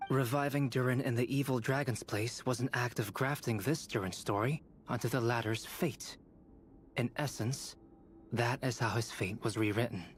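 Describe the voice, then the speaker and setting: An actor playing a composed young male scholar in a video game, measured, formal and precise as he explains. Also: medium pitch, close to an everyday voice.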